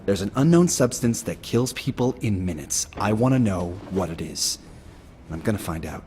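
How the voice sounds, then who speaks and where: An actor playing a wry, dogged forensic investigator in a video game, talking in a chatty, emphatic way. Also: male voice, lowish voice, lively intonation, moderate pace, dark tone, lightly acted. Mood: determined. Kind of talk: cinematic video game acting. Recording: game soundtrack music behind.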